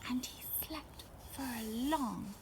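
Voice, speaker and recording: A woman storyteller reading a picture book aloud to children, with dramatic pauses and questions put to her young listeners. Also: high-pitched, noisy background.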